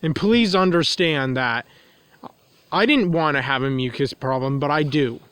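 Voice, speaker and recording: A man's voice: on the high side, unhurried, a bit bright, clean tone, dry recording. Congested nasal man rambling outdoors. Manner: repetitive, circling back to restate points. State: congested from a runny nose. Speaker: vlogger. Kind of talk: rambling confessional monologue. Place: outdoors in the cold.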